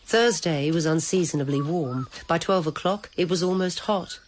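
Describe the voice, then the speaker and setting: A woman narrating a literary story in a measured, deliberate, dramatic style. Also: low-pitched, background noise.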